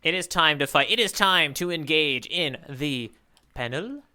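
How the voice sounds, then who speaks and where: A man's voice: on the high side, animated, a little slow, pushed and bright, slight background noise. A man co-hosting a podcast in casual comedic chat, with a playful, mock-grand way of announcing things. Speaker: podcast co-host.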